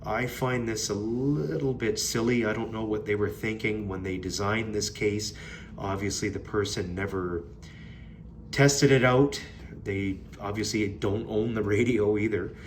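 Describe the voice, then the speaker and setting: A man's voice: fairly low pitch, fairly even intonation, measured pace, a little hiss or hum. A man giving a casual, unscripted product review in a plain-spoken, mildly critical way. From Canada.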